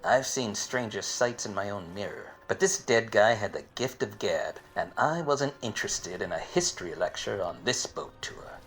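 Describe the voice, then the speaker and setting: A man's voice: lowish voice, expressive, moderate pace, hardly any pauses, a bit bright. Man playing a wisecracking hard-boiled private eye. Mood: brooding narration. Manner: wry, world-weary, wordy wisecracks. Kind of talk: 1940s detective radio play parody.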